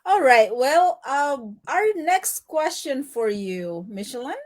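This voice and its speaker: A woman hosting a live online interview, welcoming guests in a friendly, halting way. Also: high-pitched, sing-song. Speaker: interview host.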